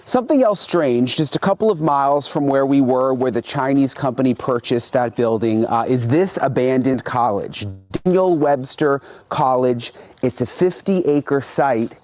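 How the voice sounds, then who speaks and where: A man narrating a television news package as reporter in a measured voice. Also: medium pitch, a little mellow.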